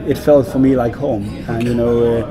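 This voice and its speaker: A man's voice: mid-range voice, fairly even intonation, moderate pace, over music. A Danish man working as a whisky brand ambassador, speaking English in a casual interview, with many fillers and repeated words. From Denmark.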